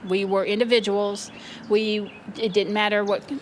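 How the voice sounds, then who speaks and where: A woman giving a reflective interview, speaking in halting, emphatic phrases that she often repeats and rebuilds. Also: medium pitch, level tone. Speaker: interviewee, former prison art student. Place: outdoors in a park. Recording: outdoor interview with ambient noise.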